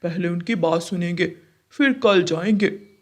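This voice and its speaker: A woman's voice: on the low side, unhurried. A woman voicing a put-upon male crow husband in a cartoon. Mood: grumbling.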